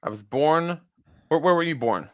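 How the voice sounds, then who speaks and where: A man's voice: on the high side, lively intonation, moderate pace. A young man co-hosting a casual podcast, joking and teasing in a jumpy, playful way. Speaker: podcast co-host. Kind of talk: casual chat.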